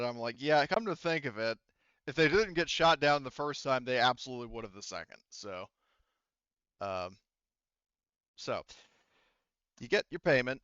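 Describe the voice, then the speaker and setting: A man's voice: mid-range voice, a bit bright, clear, resonant tone, no echo, dull audio. A man working as game master, chatting and narrating a tabletop game in a wry, explanatory way.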